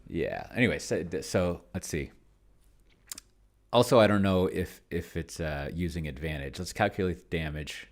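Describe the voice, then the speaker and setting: Guy with a really low voice, kinda musing to himself while he plays a game, trails off a lot. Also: normal speed. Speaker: solo tabletop game player and demonstrator. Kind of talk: casual think-aloud game commentary.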